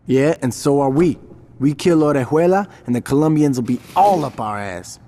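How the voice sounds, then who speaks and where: A man's voice: on the high side, expressive, normal speed, mellow. An actor playing a cautious male gang lieutenant who plans carefully, in a video game cutscene.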